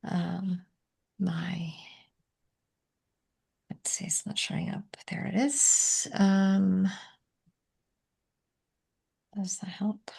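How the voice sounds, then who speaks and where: Woman, from Malaysia, nonprofit founder, video call, halting with fillers, gravelly fry. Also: on the low side, fairly even intonation, dark tone, rough recording. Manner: hesitant, many fillers while sharing her screen. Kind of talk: panel presentation on a video call.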